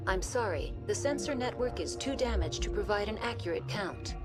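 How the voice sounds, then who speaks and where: A woman's voice: mid-range voice, lively intonation, normal speed, close to an everyday voice. A woman playing a literal-minded virtual intelligence in a video game, speaking in a formal, precise, emotionless way. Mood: impassive, formal. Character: literal-minded, impassive female virtual intelligence facility assistant. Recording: music behind the voice.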